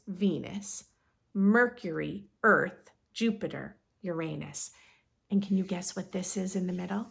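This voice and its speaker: A woman reading a picture book aloud to young children in an expressive, instructive way, as a read-aloud host. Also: lowish voice, gravelly. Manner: expressive, instructive, asks listeners questions.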